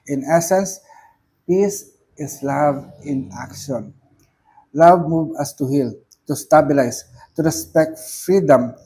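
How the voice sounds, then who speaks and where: A middle-aged man from the Philippines with a Filipino accent, a reverend reading a spiritual reflection aloud, slowly and with emphasis on key words. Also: mid-range voice, dark tone, a little hiss or hum, poor audio. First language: not English. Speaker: reverend giving commentary.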